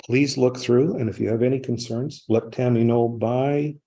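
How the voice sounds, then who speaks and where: A man with a creaky, rough-edged voice chairs a council meeting informally. His tone is dark and mellow. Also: mid-range voice, fairly even intonation, moderate pace. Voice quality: gravelly, husky.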